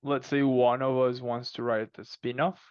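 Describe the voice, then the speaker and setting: A man answering conversationally as a podcast guest, halting and repeating words as he starts phrases. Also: mid-range voice, flat delivery, darkish tone, clean tone, dry recording, dull audio. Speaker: podcast guest, fiction co-author.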